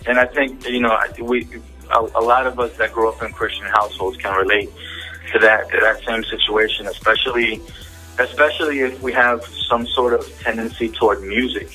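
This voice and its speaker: Husky, bright-voiced male interviewer. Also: medium pitch, fairly even intonation, low-quality recording. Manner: halting, repeats phrase openings. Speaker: interviewer, host. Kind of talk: conversational interview. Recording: phone interview with music behind.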